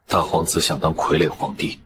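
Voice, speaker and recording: An actor playing a young prince and cool strategist, speaking in a dry, analytical way for an animated drama. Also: male voice, very deep voice, moderate pace, noisy background. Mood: wry. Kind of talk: dramatic animated-series acting.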